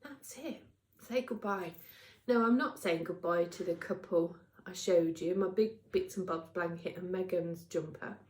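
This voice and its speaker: A woman's voice: on the low side, a little slow. A middle-aged British woman with a congested, slightly nasal voice, chatting casually and ramblingly to camera as host of a knitting vlog. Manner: chatty, rambling, emphatic repetition, tag questions. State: congested from a cold. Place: indoors at a craft workplace. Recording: roomy, echoing indoor sound.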